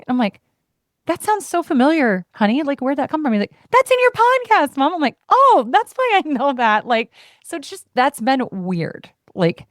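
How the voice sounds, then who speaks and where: A woman's voice: sits high, no echo, clean studio sound. A woman in her thirties hosting a podcast, chatting casually and passionately, playful, putting on voices when she quotes her family. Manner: passionate and expressive, heart on sleeve. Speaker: podcast host. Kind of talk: casual conversation.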